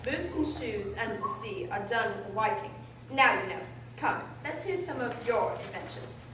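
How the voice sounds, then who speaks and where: A woman as a high, bossy gryphon, echoey room. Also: lively intonation, normal speed, natural voice. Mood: smug. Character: brisk, bossy, know-it-all old male Gryphon. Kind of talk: amateur radio drama acting. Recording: echoey room, distant mic, faint noise.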